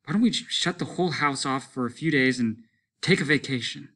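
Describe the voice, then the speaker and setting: A man's voice: mid-range voice, fairly quick, fairly bright tone, pitched above the performer's own voice, natural voice. A man voicing a tense, tearful wife. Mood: frightened. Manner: tense, pleading, breathy. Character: anxious, tearful middle-aged wife. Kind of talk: classroom read-aloud.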